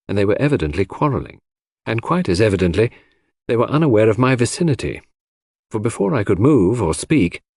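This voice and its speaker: Expressive low-voiced male narrator. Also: no echo, clean studio sound. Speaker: audiobook narrator. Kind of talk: scripted first-person story narration.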